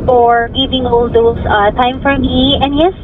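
Courteous female call-center agent, high-pitched, heard over a tinny phone speaker with car noise. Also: flat delivery, a little slow, far from the mic. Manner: polite, formal, addresses caller as ma'am. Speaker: phone customer service agent.

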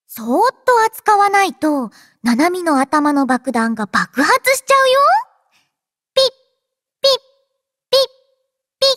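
Anime game android girl, extremely high voice, lively swings, childlike, playful, boastful. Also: no echo, clean studio sound, full character voice. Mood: playful, earnest. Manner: playful, childlike, refers to herself by name. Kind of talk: anime game character voice lines. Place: dry studio.

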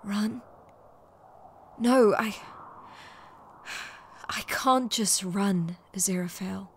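A woman's voice: medium pitch, very slow, slight background noise. A woman voicing a sardonic, conflicted male demon in a comic dub, wry and hesitant, sometimes dropping to a whisper. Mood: nervous, evasive.

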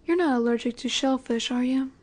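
A woman playing a flirty, confident female mafia boss in a roleplay audio drama, coy and teasing with breathy asides. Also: high voice, a little fast, a little mellow. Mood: coy, flirtatious.

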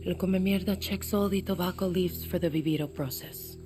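A woman's voice: lowish voice, steady tone, a little fast, music in the background, lightly acted. An actress playing a tough, defiant young female guerrilla leader with a Latin American Spanish accent in a game cutscene. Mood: fierce, blunt. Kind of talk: dramatic video game cutscene acting.

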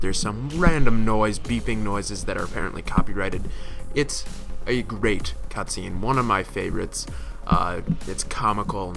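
A man's voice: fairly low pitch, creaky. Male countdown host with music underneath. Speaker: host of a gaming countdown channel. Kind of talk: casual countdown voice-over commentary.

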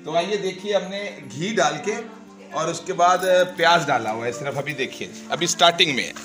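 A man's voice: a little high, moderate pace, sharp tone. A man in his forties, chatting to viewers while cooking on a wood fire outdoors in a village yard, recorded on the camera mic. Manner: chatty, addresses viewers directly. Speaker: cooking vlogger. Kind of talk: casual cooking demonstration. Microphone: camera mic, handheld by a cameraman. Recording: background music under the voice.

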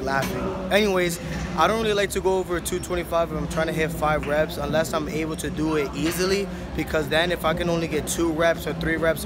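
A man's voice: a little high, heavy background noise. A young man in his twenties, casually explaining his chest workout on a phone camera in a large gym. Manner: laid-back, explaining lifts with a laugh. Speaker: fitness vlogger. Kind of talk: casual gym vlog talk. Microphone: phone camera held close. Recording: loud music playing behind.

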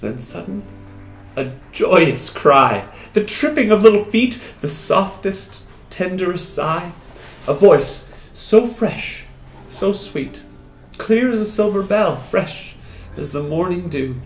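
Man acting as wounded soldier, lovestruck and wistful, somewhat high-pitched, theatrical poetry recital, halting delivery, echoey room. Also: heavily acted. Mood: wistful, tender. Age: 30s. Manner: theatrical, halting, with long expressive pauses. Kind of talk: dramatic poetry recital, first-person monologue. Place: small indoor room with hard walls. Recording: roomy echo, music behind.

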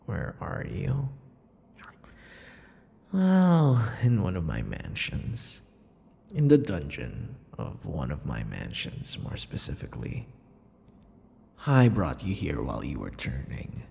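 A man performs a vain, possessive male vampire in an intimate audio roleplay. He taunts his captive theatrically, slow and gravelly, drifting into whispers. Long reverb hangs around the voice. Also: deep voice, wildly swooping pitch, warm tone, exaggerated voice. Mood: smug, tender menace. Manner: theatrical, taunting, breathy whispers mixed with murmurs. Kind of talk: intimate ASMR boyfriend roleplay.

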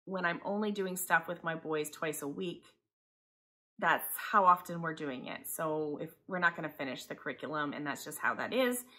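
Woman in her forties, slightly low pitch, bright forward voice, chatty rambling vlogger, conversational. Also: moderate pace. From Taiwan. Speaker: homeschooling mother, vlogger. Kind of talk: casual chatty vlog. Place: living room by a window, indoors.

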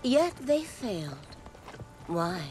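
A woman's voice: fairly high pitch, very animated, takes it slowly, a little mellow. A woman playing a confident, loyal warrior in a fantasy video game cutscene, speaking with poise and assurance. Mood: intimate. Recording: music under the dialogue.

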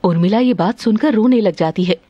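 A woman's voice: mid-range voice, brisk, mellow, dry recording, muffled recording. A woman narrating a scripted cartoon story in a dramatic style.

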